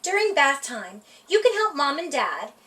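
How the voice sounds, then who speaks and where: High, lively woman reading a picture book. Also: moderate pace. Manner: playful, expressive read-aloud delivery. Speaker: library storytime reader. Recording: voice heard from a distance off the mic.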